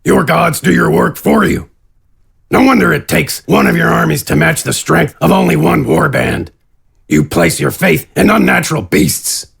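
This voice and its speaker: A man playing a huge, gruff, scornful warlord in a fantasy audio drama, speaking tersely in a raspy, gravelly voice. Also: a little high, expressive, normal speed, a bit bright, heavily acted. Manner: terse, grunting, disdainful. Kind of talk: scripted audio drama acting.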